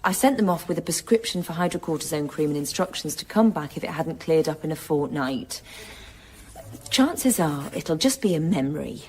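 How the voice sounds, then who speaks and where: Brisk, dismissive woman doctor, lower-pitched. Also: expressive, darkish tone, slight background noise. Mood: matter-of-fact. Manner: firm, matter-of-fact, condescending. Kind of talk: naturalistic radio drama acting.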